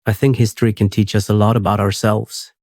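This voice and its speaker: Guy reading as a woman historian, earnest, low monotone, dry sound. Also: a little fast, dry recording.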